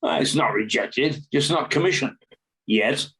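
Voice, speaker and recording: A man's voice: mid-range voice, animated, unhurried, fairly bright tone, rough recording. A man playing a cautious, sceptical news reporter in a radio play, reasoning hesitantly. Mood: sceptical, defensive.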